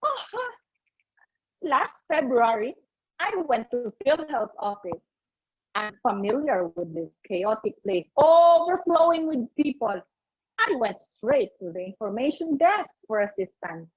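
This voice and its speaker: A woman in her late fifties with a Filipino accent, delivering a humorous contest speech in a theatrical, deliberate manner over an online call. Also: on the high side, very animated, husky. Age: late 50s. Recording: muffled online video call.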